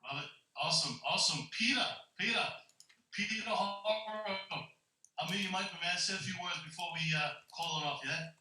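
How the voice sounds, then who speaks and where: Echoey, bright-voiced man hosting a group call. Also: high voice, moderate pace, faint music behind. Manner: informal, calls people buddy, brother and my man. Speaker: team call host. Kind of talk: casual motivational group video call. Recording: echoey, distant online call audio.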